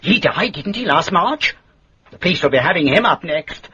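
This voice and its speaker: A man playing an excitable, gossipy English lodger in a radio drama, with a dramatic, chummy way of talking. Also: on the high side, normal speed, breathy edge, exaggerated voice. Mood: excited, alarmed. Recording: dull lo-fi radio drama recording.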